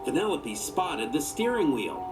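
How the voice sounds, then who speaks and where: A man narrating a children's storybook from a script in an expressive storytelling manner. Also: on the high side, a little slow, over loud music.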